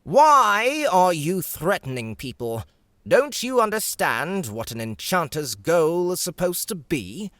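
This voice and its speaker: A man's voice: high-pitched, big pitch swings, a bit bright, no echo, pitched above the performer's own voice, full character voice. A man voicing a stern, impatient enchanter teacher in an audiobook. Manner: slow, lecturing.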